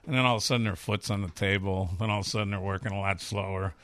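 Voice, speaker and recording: A man's voice: lowish voice, steady tone, racing, fairly bright tone, dry recording. A man in his fifties with a Chicago accent, a chef talking candidly and rambling through stories in a casual interview. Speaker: chef and restaurateur.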